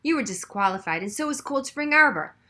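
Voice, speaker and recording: Woman as a harried male superintendent, bright voice. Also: high voice, animated, fairly quick, voice pushed up. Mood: exasperated, uncertain. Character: frazzled male school superintendent, rumpled and harried.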